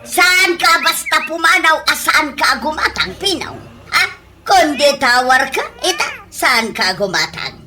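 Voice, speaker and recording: A woman's voice: high voice, animated, a little slow, background noise. An actress with an Ilocano accent playing a scolding older market-vendor mother in a comedy radio drama. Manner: nagging, exclamatory.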